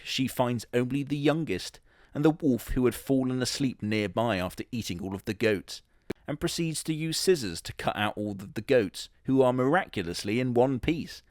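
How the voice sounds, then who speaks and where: A man's voice: fairly low pitch, dry recording, clean studio sound. A man telling a crude comic story in a sardonic, matter-of-fact way, swearing casually. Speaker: comedy narrator in character.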